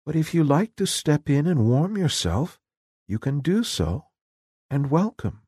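Man as gentle young Cinderella, lightly raised pitch. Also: big pitch swings, measured pace, slightly rough, no echo, studio quality, exaggerated voice. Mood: gentle, welcoming. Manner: gentle, hospitable. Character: kind, humble young Cinderella, good-natured girl.

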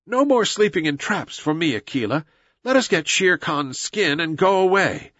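A man acts out a proud, defiant jungle boy from a storybook. The voice is raised high and swings boldly, with lofty, declamatory delivery. The recording is dry and a little muffled. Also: sing-song, moderate pace, a bit bright, no room sound. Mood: resolved. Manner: lofty, declamatory, archaic phrasing.

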